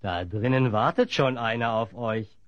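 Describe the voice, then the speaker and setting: A man's voice: mid-range voice, measured pace, dry recording, dull audio, close to an everyday voice. A man playing a menacing, gloating bandit chief and slave trader with a mocking drawl in a fantasy audio drama.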